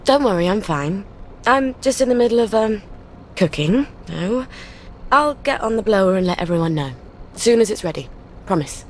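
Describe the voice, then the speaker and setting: A woman's voice: medium pitch, lively intonation, normal speed, slight background noise, lightly acted. A woman voicing a kind, flustered, sweetly polite young British mother in a video game, coaxing and muttering to herself. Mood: breezy, evasive. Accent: British English.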